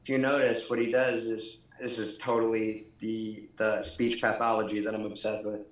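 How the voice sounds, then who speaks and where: A man co-hosting a casual talk show, explaining things in an animated, conversational way. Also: lowish voice, moderate pace. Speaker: podcast co-host. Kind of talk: casual chat. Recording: roomy, echoing sound.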